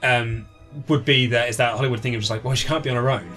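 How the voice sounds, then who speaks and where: A man in casual conversation as an interview guest, telling things in an animated, storytelling way. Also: medium pitch, fast talker, faint music behind. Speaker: interview guest, writer of a book on video game movies. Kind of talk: casual chat.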